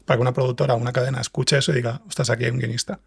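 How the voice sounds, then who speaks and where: Man, mid-pitched, husky, gravelly creak, interview guest explaining. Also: flat delivery, very fast. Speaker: podcast guest, scriptwriter and fiction podcast creator.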